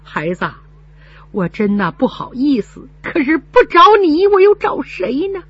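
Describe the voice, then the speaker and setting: A woman voicing a gravely ill old mother, speaking weakly and tearfully in a spoken story. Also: high-pitched, very animated, measured pace, no room sound, muffled recording, voice pushed up. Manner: weak, pleading, tearful.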